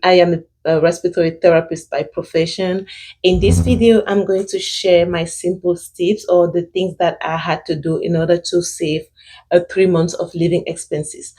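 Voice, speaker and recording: A young woman with a thick French-speaking accent, giving casual money advice to her viewers, pausing often with fillers and restarting her sentences. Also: on the low side, level tone, dark tone, slightly rough, poor audio. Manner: hesitant, many fillers and restarts. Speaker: vlogger. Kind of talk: casual personal finance advice.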